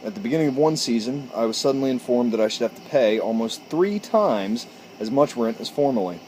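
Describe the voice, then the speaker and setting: A man with a mid-pitched voice talks informally on a porch, with faint noise behind him. He moves between casual chat and reading aloud, now and then tripping on a word and fixing it as he goes. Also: lively intonation, a little fast, darkish tone, poor audio. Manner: informal, stumbles and corrects himself while reading. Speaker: amateur storyteller and video host. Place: outdoors on a porch.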